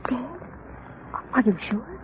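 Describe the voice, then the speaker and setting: An inquisitive, anxious young lady sidekick in 1930s radio drama. Her voice is high and swings expressively, with breathless, earnest delivery. The aged recording sounds dull and noisy. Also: animated, takes it slowly. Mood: horrified.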